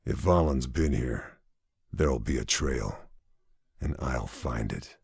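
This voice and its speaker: Very deep, gravelly male sniper. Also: darkish tone, no room sound, heavily acted. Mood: grim, determined. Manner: terse, brooding, deliberate. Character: grim, gravelly male WWII sniper narrating his missions. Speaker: narrating game protagonist. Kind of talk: scripted dramatic mission narration.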